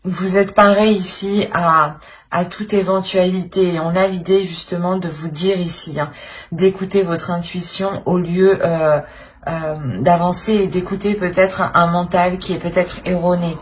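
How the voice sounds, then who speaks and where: A woman's voice: on the low side, fairly even intonation, unhurried. A woman giving an unscripted tarot reading to viewers, hesitant and searching for words as she repeats phrases. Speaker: tarot reader and channel host.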